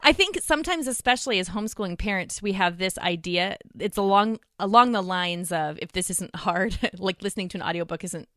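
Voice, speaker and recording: A woman speaking conversationally as a podcast guest, explaining things in an animated way. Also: mid-range voice, a little fast, hardly any pauses, no room sound, clean studio sound. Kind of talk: conversational interview.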